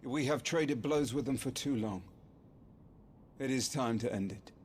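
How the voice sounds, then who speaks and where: An actor playing a stern, resolute military commander, formal and brisk, in a fantasy game. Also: male voice, mid-range voice, a little mellow, slight background noise, close to an everyday voice. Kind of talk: dramatic fantasy game acting.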